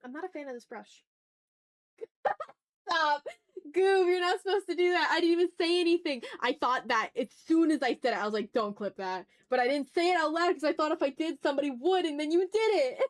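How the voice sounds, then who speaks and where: A woman chatting casually as she hosts an art livestream, talking to her viewers in an animated, slangy, teasing way with some swearing. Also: high-pitched, brisk. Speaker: livestream host and artist. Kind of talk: casual art livestream chat, reading and answering chat messages.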